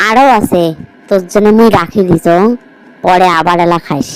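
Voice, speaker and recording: A woman's voice: fairly low pitch, normal speed, clean tone, lightly acted, poor audio. A woman voicing a bossy village stepmother in a cartoon story, speaking with a northern Bangladeshi accent. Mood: doting. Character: harsh, scolding village stepmother who favours her own daughter. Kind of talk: amateur cartoon story voice acting.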